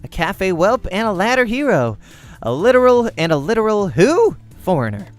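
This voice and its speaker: A man voicing a brisk, bossy young woman pro gamer in a visual novel, curt, blunt and businesslike. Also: high-pitched, wildly swooping pitch, soft background music, voice pushed up. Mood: dismissive. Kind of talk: amateur visual novel character acting.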